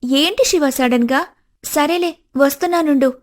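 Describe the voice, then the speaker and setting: An actress plays a young woman in a cartoon story, coy and materialistic, with a cutting tongue. Her voice is clearly high. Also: a little slow. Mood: curious, eager. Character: coy, teasing, money-minded, scornful young woman. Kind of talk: scripted cartoon story dialogue.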